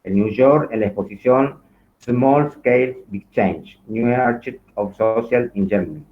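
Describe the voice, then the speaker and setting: A man hosting an online event, formally reading out material in a halting way and stumbling over foreign names. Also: medium pitch. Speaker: host introducing a guest lecturer. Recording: thin phone-like online call audio.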